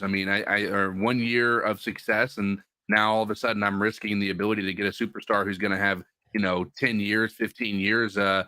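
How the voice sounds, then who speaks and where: Bright-voiced, low-pitched podcast co-host. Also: male voice, no echo. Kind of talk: casual sports talk.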